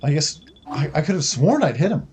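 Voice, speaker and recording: Animated man doing casual game commentary. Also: on the high side, fairly quick, a little hiss or hum. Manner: colloquial, muttering reactions to self. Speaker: game streamer. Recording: faint game music behind the voice.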